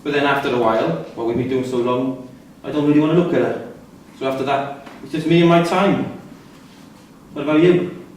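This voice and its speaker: An actor playing a sardonic, provoking prisoner with a needling, dismissive manner in a staged play reading. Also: male voice, medium pitch, steady tone, fairly quick, pauses often, a little mellow, natural voice. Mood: guarded. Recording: distant echoey hall with background noise.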